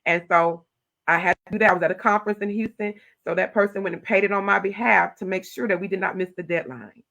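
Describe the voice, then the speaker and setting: A middle-aged woman, a county commissioner telling stories in an animated, loud voice. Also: mid-range voice, quick pace, vocal fry, dull audio. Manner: animated storytelling, acting out her own quoted replies. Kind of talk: casual interview talk.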